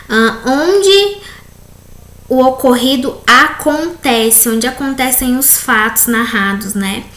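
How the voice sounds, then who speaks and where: A Brazilian woman, an English teacher, correcting textbook exercises in a recorded class, reading questions slowly and explaining with frequent tag questions. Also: a little high, a bit bright. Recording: echoey room, faint background noise.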